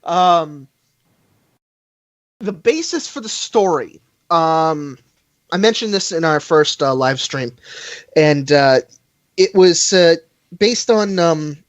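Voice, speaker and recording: Higher-voiced man, halting and chatty. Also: animated, no room sound. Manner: halting, rambling, chuckles often. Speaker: live stream host. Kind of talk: casual fan podcast chat.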